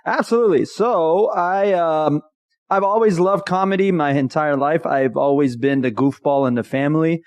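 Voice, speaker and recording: A man in his thirties from Iran, a comedian telling his story in a casual interview with jokey, animated energy. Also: a little high, moderate pace, no room sound. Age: 30s. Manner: jokey and animated, often laughing mid-sentence. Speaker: guest, actor and comedian.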